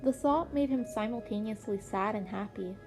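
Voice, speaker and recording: A woman's voice: mid-range voice, moderate pace. A woman reading scripted story narration as an amateur audiobook reader, with music playing underneath.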